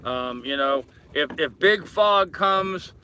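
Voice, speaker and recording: An older man with a very bright, sharp voice that sits a little high hosts a casual live stream. He rambles from one viewer comment to the next, talking to people like old pals. Faint ambient engine noise sits behind him aboard his boat. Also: expressive, slow pace. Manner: rambling, addresses viewers familiarly as brother and man.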